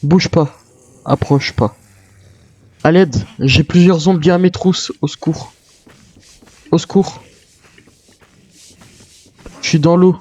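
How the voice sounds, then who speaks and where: Man, mellow voice, wary survivor role-play, video game, muffled roomy recording. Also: fairly high pitch, slow, long pauses. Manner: speaks in character as a wary survivor. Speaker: player role-playing a zombie apocalypse survivor. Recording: muffled lo-fi mic, roomy echo.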